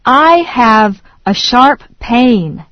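A woman slowly reading English phrases one at a time in a language drill, with deliberate enunciation. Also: fairly high pitch, animated, dry recording. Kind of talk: slow repeat-after-me English drill. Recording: dry, dull lo-fi studio read.

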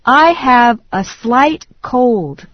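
A woman slowly reading English phrases one at a time in a language drill, with deliberate enunciation. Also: on the high side, expressive, no echo. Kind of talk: slow repeat-after-me English drill. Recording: dry, dull lo-fi studio read.

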